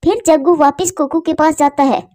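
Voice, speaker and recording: A woman's voice: sits high, moderate pace, a little mellow, no echo, clean studio sound. A woman reading scripted narration for a cartoon story as its narrator.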